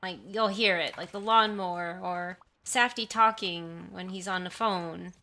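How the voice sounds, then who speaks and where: A woman's voice: lowish voice, fairly even intonation, measured pace, pushed and bright. A woman livestreamer chatting casually with her viewers, rambling and often apologizing, on a sensitive desktop mic in a room at home. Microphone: desktop condenser mic at high sensitivity.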